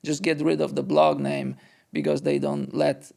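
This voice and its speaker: A man's voice: on the high side, little pitch movement, normal speed, gravelly, darkish tone. A middle-aged man who covers basketball as a journalist, co-hosting a podcast and telling stories in a chatty way, often quoting people. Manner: chatty storyteller, quotes others. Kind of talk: casual conversation.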